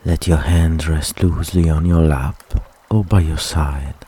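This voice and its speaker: Very deep, slow male meditation guide. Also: fairly even intonation, dark tone, husky. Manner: soothing, unhurried, with long pauses. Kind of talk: guided relaxation meditation, soft scripted instruction.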